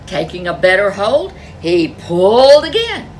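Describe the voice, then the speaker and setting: A woman's voice: mid-range voice, sing-song, measured pace, darkish tone, a little hiss or hum. A woman storyteller reading a folk tale aloud in a dramatic, emphatic way. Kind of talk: animated folk tale narration for children.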